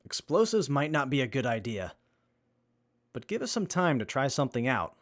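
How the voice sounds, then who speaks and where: A man acting as an earnest, capable young survivor with a measured, methodical manner in a post-apocalyptic audio drama. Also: medium pitch, big pitch swings, close to an everyday voice. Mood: calm.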